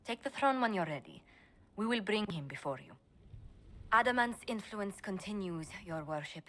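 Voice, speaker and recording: An actress playing a composed, shrewd female advisor who speaks in a measured, formal way in a game. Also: medium pitch, lively intonation, close to an everyday voice. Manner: measured, formal, quietly persuasive. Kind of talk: video game voice acting.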